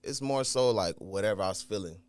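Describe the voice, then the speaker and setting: A man's voice: lowish voice, fairly quick, no room sound. A young man from Haiti, a rapper guest on a podcast, laid-back and giving brief slangy answers in a studio. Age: young adult. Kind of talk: casual interview.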